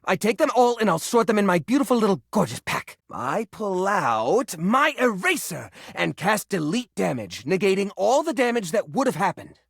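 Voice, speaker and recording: Eager bookish healer for a game, excitable n wholesome, super animated pitch, bit of fry. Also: female voice, no echo, studio quality. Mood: earnest. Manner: earnest, excitable, wholesome exclamations. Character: eager, bookish office-supply fantasy healer, nervous but brave. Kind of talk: video game character acting, tabletop role-play.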